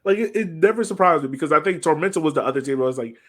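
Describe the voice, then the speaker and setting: A man's voice: fairly high pitch, animated, racing, fairly bright tone. A man co-hosting a soccer podcast in an informal, conversational way, chatting casually about the game. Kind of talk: casual sports chat.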